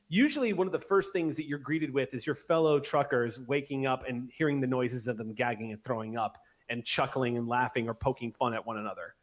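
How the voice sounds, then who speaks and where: Guy in his 40s game mastering, mid pitched voice, descriptive chatty storytelling, close mic. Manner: descriptive, conversational storytelling with vivid detail. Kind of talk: narrating a tabletop roleplay session. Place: small home room, video call. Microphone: close large studio microphone on an arm.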